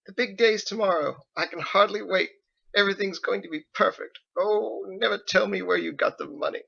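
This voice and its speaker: Guy doing an older wife from an audiobook, higher voice, affectionate, kinda pleading, slightly dull sound. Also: normal speed, creaky, pushed and bright, husky, full character voice. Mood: excited, delighted. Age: 60s. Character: loving, worried sixty-five-year-old wife, bustling and warm. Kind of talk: audiobook character voice.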